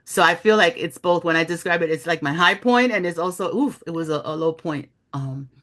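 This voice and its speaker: A woman's voice: lowish voice, brisk, a bit bright. A middle-aged woman from New York City, speaking as an author in a reflective interview, telling her story candidly with many "you know" asides. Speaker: author, journalist and guest.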